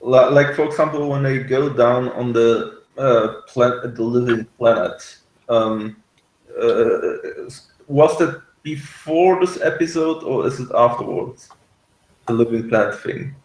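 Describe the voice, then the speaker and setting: A man in a casual discussion of a TV series, speaking haltingly as he searches for words. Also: mid-range voice. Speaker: reviewer on a discussion show. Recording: echoey room sound.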